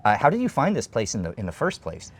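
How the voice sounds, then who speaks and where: A man's voice: on the low side, wildly swooping pitch, fast, breathy edge. A man in his thirties hosting a food show, chatting and explaining dishes while eating at an open-air stall, on a lapel mic. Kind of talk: casual food chat and explainer.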